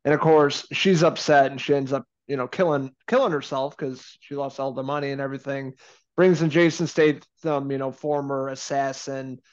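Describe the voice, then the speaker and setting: A man in his thirties co-hosting a casual movie review over a video call from home, relaxed and chatty. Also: medium pitch, normal speed, dull audio. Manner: relaxed, chatty, laughs easily. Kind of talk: casual film review chat. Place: home room indoors. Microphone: video call webcam.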